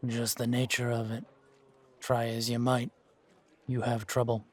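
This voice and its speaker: Man playing a slow, deadpan, world-weary monster hunter. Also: medium pitch, lightly acted. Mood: detached, grim. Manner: deadpan, unhurried, laconic. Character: gruff, world-weary male monster hunter, wry and aloof. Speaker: voice actor in fan-made audio roleplay. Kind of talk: slow in-character audio roleplay.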